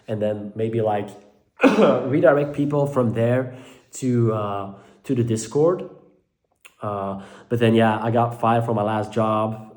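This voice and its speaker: Soft-spoken Belgian man with a Dutch accent. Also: on the low side. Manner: hesitant, many fillers and restarts. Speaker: mental health content creator. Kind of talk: casual talk to camera. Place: living room at home.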